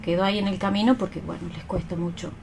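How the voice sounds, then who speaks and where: A woman's voice: lowish voice, fairly even intonation, quick pace, gravelly, darkish tone. Woman in casual interview, noticeable background noise. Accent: Argentine. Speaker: guest, mime artist and writer. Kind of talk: informal radio interview. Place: radio studio.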